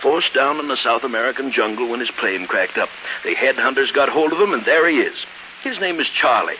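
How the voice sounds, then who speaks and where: An actor playing an effusive, fussy elderly invalid uncle who stammers and repeats himself, in 1940s radio drama style. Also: male voice, medium pitch, animated, fairly quick, pushed and bright. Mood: genial, eerie relish. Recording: hissy, muffled 1940s radio broadcast.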